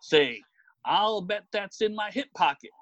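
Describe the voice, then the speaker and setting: A woman voicing a fussy, excitable man who blusters and mutters as he gets exasperated, in a storybook reading. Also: medium pitch, measured pace, no echo, lo-fi sound, voice pushed down, close to an everyday voice. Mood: exasperated, angry. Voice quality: husky, whining. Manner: blustering, muttering, oath-prone.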